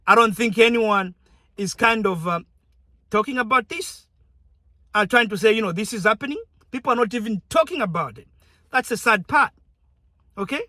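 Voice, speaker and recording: A man's voice: high-pitched, expressive, moderate pace, long pauses, bright, forceful tone, no room sound. A young man from Zambia talking casually to his viewers, chatty and conversational, often restarting his sentences. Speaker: YouTuber. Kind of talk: casual direct-to-camera talk.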